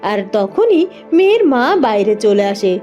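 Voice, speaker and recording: A woman's voice: medium pitch, very animated, moderate pace, warm tone, highs cut off, faint music behind. A woman reading scripted narration for a village fairy-tale cartoon as its storyteller.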